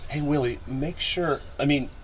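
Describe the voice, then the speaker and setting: A man with a gravelly voice talking casually and ramblingly as a musician guest on a podcast. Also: a little high, moderate pace. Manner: rambling, colloquial, occasional swearing. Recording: clear background noise.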